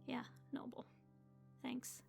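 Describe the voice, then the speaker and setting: A woman's voice: slow pace, music in the background, close to an everyday voice. A woman playing a shaken but defiant young hospital patient who narrates her own story in an audio drama. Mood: defiant, upset. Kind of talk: audio drama acting with first-person narration.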